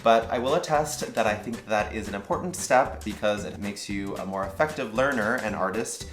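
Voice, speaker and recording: A man's voice: lowish voice, normal speed, roomy sound, soft background music. A man, an opera singer, explaining his learning process to camera in an earnest, explanatory vlog style.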